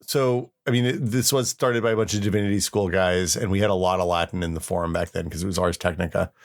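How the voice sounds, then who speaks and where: A man's voice: deep voice, fairly even intonation, fast. A man in his forties co-hosting a podcast, chatting casually with a teasing, inquisitive manner. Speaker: podcast co-host. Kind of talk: casual chat.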